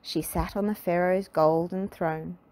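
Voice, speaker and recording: A woman narrates a children's storybook. Her voice is on the low side, and she reads very slowly, with deliberate expression. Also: steady tone, darkish tone. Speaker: storybook reader.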